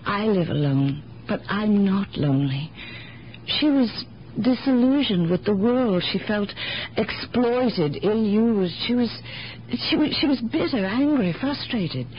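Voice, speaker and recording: An actress playing an independent, philosophical elderly aunt with a sharp mind, speaking deliberately in gently contrary riddles in 1970s radio drama style. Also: female voice, medium pitch, expressive, natural voice. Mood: thoughtful, precise. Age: older. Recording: dull, noisy 1970s radio broadcast recording.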